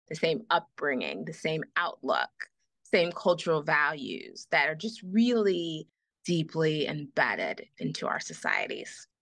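A woman's voice: low voice, gravelly, bright, forceful tone, dry recording. A woman guest on a podcast, speaking with passion and repeating phrases for emphasis. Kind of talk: earnest advocacy in conversation.

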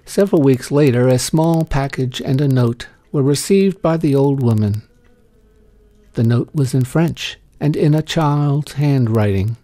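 A man reading a short story as scripted narration, in a gentle, measured storytelling manner. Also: medium pitch, no echo. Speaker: story reader.

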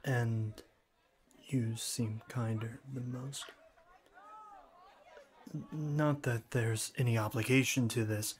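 A man playing a shy young classmate in an ASMR roleplay, speaking formally and stiffly with hesitant stammers and a cool, deadpan manner. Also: medium pitch, slow pace, pauses often, some chatter behind, natural voice. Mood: awkward, nervous. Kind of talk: ASMR roleplay, scripted one-sided dialogue.

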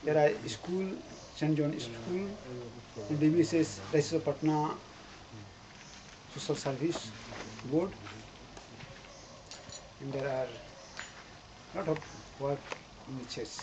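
A middle-aged man with an Indian accent, a pastor introducing himself and his church in halting, short phrases. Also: on the high side. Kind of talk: simple introduction to camera. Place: outdoors in a church doorway. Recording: noisy outdoor camcorder, ambient sound.

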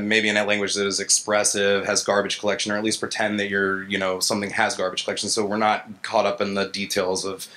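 A man in his thirties gives a casual tech talk into a stage microphone. His voice sits fairly low but has a bright, forceful edge. He talks in a halting, conversational way, restarting often. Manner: halting, conversational, frequent "like" and "right?". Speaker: conference speaker, software engineer. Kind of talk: informal lightning talk, answering questions. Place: gallery event space, indoors, on stage. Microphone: handheld-style vocal mic on a stand.

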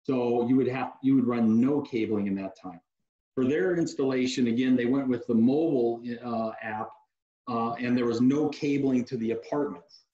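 A man's voice: medium pitch, moderate pace, highs cut off. Man explaining tech over an echoey video call. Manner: relaxed, practical, sales-savvy explainer, many uh fillers. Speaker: security technology company guest. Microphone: video call webcam mic.

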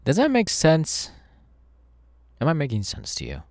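A man's voice: fairly high pitch, wildly swooping pitch, a little slow. A man playing a smug, sadistic masked killer in an ASMR audio roleplay, taunting in a menacingly casual, mocking way. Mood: mockingly polite. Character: sadistic masked young male killer, smug, gleeful cult henchman.

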